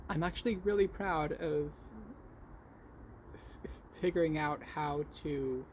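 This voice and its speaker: A man with a fairly high voice talks slowly and casually to his viewers. He stutters, repeating first sounds and restarting phrases in a halting, self-correcting way. There is noticeable noise behind his voice. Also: darkish tone. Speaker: vlog host showing crochet work. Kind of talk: casual podcast-style chat.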